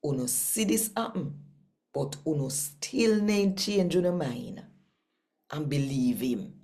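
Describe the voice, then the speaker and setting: A woman's voice: deep voice, lively intonation, slow pace, dark tone. A woman with a Jamaican accent hosting a devotional channel and reading scripture to her audience. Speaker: channel host and scripture reader.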